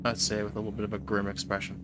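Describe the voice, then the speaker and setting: A man's voice: lowish voice, monotone, racing. Man narrating his character's reactions over music. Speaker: role-play player. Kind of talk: describing a character's reactions aloud.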